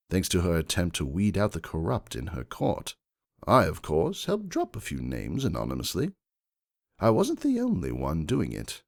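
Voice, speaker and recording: A man voicing a shrewd, composed aristocratic unicorn stallion who narrates his own story in a measured, calculating way. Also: sits low, creaky, heavily acted. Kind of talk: first-person dramatic fan fiction narration.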